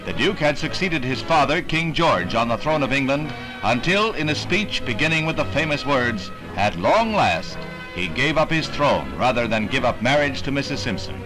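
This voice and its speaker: A man's voice: medium pitch, very animated, normal speed, rough recording. An American man reading scripted 1960s newsreel narration in a formal, dramatic announcer's delivery. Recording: vintage newsreel soundtrack with music. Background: newsreel music.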